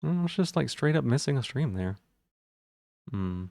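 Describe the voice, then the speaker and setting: A man with a gravelly voice and a sore throat, rambling casually to viewers on a livestream while checking things aloud. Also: mid-range voice, big pitch swings, normal speed, mellow, no echo, studio quality.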